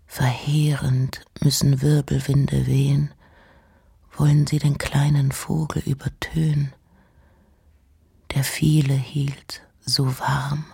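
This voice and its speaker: Husky, breathy woman reciting slowly, low voice. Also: flat delivery. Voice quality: husky, breathy, often near whisper. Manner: measured, hushed, deliberate. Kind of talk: slow literary recitation of poems and letters.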